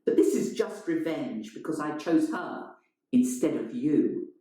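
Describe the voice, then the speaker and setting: A woman's voice: low-pitched, animated, unhurried, mellow. A woman voicing an angry, sneering man in a dramatised short story, indignant and accusing. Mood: bitter. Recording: strongly echoing, roomy sound.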